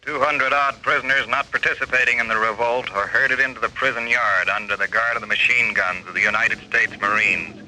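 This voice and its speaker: Very sharp, forceful radio announcer: stern, official, faintly noisy old recording. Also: male voice, medium pitch, fairly even intonation, a little fast, few pauses. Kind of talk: 1940s radio acting, scripted announcement.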